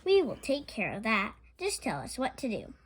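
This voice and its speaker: A woman's voice: on the high side, huge pitch swings, moderate pace, slightly rough, heavily acted. A woman voicing a cheerful, literal-minded housemaid in a children's storybook, confident, folksy and eager. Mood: brisk, confident.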